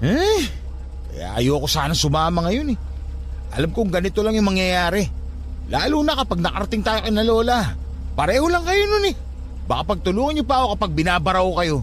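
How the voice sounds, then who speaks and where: Radio drama nephew: high voice, very animated, sarcastic and argumentative. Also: male voice, a little fast, slight background noise. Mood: defiant, annoyed. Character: irritable, blunt skeptical nephew, a grown man. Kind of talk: Tagalog radio drama acting.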